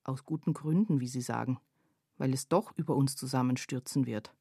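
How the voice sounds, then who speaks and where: A reflective woman narrating a literary radio play. Her voice is low and mellow with a husky edge. She speaks deliberately, as if observing a huge painting. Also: dry recording, heavily acted. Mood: pensive, uneasy. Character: pensive female first-person narrator viewing a panorama.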